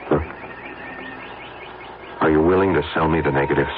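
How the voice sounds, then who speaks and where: A man's voice: rumbling low, steady tone, normal speed. An actor playing a young married office man, anxious, defensive and stammering, in an old-time radio drama. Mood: alarmed, indignant. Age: young adult. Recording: tinny band-limited radio recording, faint noise.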